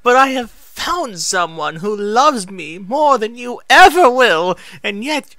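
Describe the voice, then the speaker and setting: A man's voice: very high-pitched, sing-song, takes it slowly, bright, forceful tone, no echo, voice pushed up, exaggerated voice. A man voicing an anguished young female alicorn, hurt and furious, wailing and screaming accusations in a fantasy story reading. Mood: wailing, bitter.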